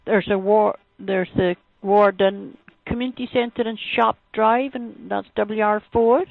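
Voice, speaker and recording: A woman with a gravelly edge gives matter-of-fact advice over a phone. The line is narrow and muffled, with a dry, close sound and no room around it. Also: medium pitch, fairly even intonation, measured pace, dry recording. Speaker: sexual health helpline adviser.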